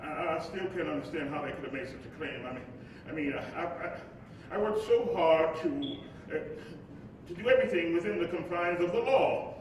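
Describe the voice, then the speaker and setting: An earnest, reflective preacher and father of the civil rights era, acted by a man on stage. His voice sits fairly high and is oratorical, with halting asides. It comes from far off in a very echoey, rumbling hall. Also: natural voice. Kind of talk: live stage play monologue and dialogue. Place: live stage before an audience. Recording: echoey hall, distant mic, noisy rumble.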